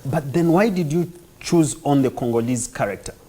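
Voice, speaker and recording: A man hosting a studio interview, relaxed and amused as he asks questions. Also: medium pitch, normal speed. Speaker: interviewer host.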